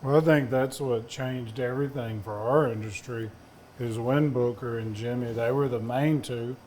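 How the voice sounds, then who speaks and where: Man, Southern accent, folksy, rambling, mid-pitched, distiller on a panel. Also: fairly even intonation, normal speed, darkish tone, a little hiss or hum. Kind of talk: casual storytelling on a panel.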